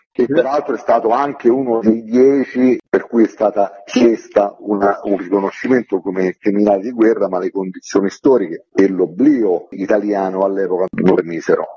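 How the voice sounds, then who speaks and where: A man with a fairly low, husky voice answers as an interviewed author. He explains methodically, laying things out point by point. The recording is dull and lo-fi. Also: warm tone. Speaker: author interviewed about his book.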